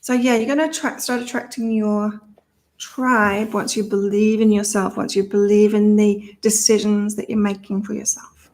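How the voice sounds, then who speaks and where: Middle-aged British-born woman, psychic livestream host, monotone, loose and repetitive. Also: medium pitch, normal speed. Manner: rambling, thinks aloud, repeats herself. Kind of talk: casual live chat reading viewer comments.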